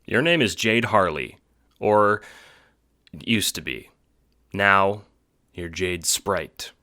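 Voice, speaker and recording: A man's voice: deep voice, expressive, slow pace, pushed and bright, no echo, clean studio sound. A man reading scripted second-person narration as the narrator of an audio drama.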